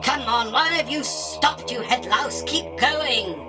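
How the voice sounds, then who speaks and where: A woman's voice: high voice, animated, slow pace, loud music behind the voice. A woman playing a haughty, imperious spider queen in a radio drama, declaiming in a grand, self-important manner. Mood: impatient. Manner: pompous, grandiose, theatrical declaiming. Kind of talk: illustrated radio drama acting.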